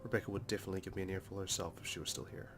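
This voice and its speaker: A man reads a game's first-person story aloud. His voice is fairly low and stays within a narrow range, with prominent music behind it. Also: fairly even intonation, rapid-fire. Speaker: let's play host reading the game's text. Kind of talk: dramatic reading of first-person story narration. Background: game music.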